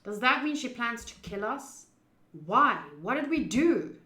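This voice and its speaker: A young woman plays and narrates a visual novel. Her voice is fairly high and bright, with a roomy echo around it. She is chatty and expressive, moving between reading and commentary. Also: unhurried. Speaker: streamer playing a visual novel. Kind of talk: gaming commentary, reading story text aloud. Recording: roomy, echoing voice.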